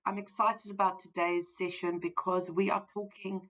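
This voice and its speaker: A woman hosting a live stream about trading mindset, talking in a chatty, earnest way with a voice croaky from the flu. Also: on the low side, little pitch movement, moderate pace, fairly bright tone, highs cut off. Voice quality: gravelly. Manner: chatty, earnest, thinks aloud with fillers. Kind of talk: casual livestream talk.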